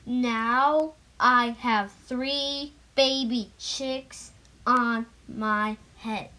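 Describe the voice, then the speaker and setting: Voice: medium pitch, steady tone, very slow, pushed and bright, pitched below the performer's own voice. A child voicing an anxious, easily upset elephant in a picture book read-aloud. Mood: dismayed.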